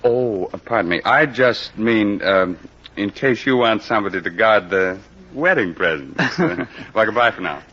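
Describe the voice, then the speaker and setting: An actor playing a brisk, wry American police captain in 1940s radio drama style, clipped and commanding, on a muffled old recording. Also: male voice, lowish voice, lively intonation. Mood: wry, sheepish.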